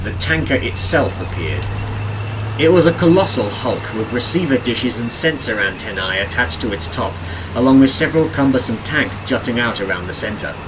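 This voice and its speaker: A man reading scripted audiobook narration of an adventure story in a deliberate, dramatic, descriptive way. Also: medium pitch, background noise. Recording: music behind the narration. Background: game and cartoon soundtrack music.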